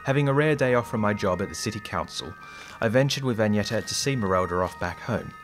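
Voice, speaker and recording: A polite, earnest male diarist played by a man tells an adventure in an audio drama. His voice sits fairly low and his phrasing is formal and storybook-like. Faint music plays along. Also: expressive, fast talker, lightly acted. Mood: earnest, reflective. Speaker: narrator and character. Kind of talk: audio drama diary narration.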